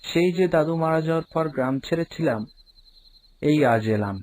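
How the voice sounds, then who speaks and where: A man's voice: on the high side, expressive, a little fast, a little mellow, slight background noise, natural voice, rough recording. A young man voicing a curious, uneasy villager returning home in a horror cartoon, musing aloud as he tells his own story. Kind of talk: cartoon horror narration and dialogue.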